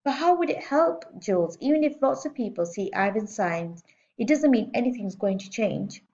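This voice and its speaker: A woman's voice: mid-range voice, lively intonation, normal speed, mellow, lo-fi sound, natural voice. Woman doing a weary skeptical dad. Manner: skeptical, exasperated. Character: weary reluctant middle-aged father.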